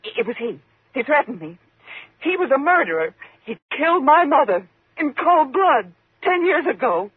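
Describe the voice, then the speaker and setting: A woman's voice: sits high, very animated, normal speed, dry recording. An actress playing a nervous, fearful woman in a 1950s radio thriller, with a faltering, agitated delivery. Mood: terrified. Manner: faltering, agitated, breathy gasps. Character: nervous, fearful woman trapped with a menacing man. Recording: muffled, tinny 1950s radio recording.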